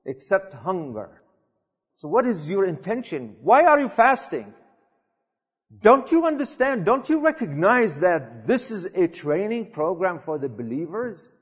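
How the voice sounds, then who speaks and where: A man delivering a Friday sermon, chanting Arabic verses quickly and then translating, swinging between loud urging and quiet reflection. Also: high voice, sing-song, husky. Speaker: preacher giving a Friday sermon. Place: mosque prayer hall. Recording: long hall reverb.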